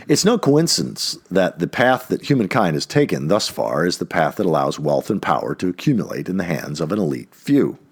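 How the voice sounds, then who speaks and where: A man narrates a nonfiction essay in a fairly low, husky voice. His pitch moves in wide, animated swings. He phrases deliberately and puts clear emphasis on key words, as an audiobook reader does.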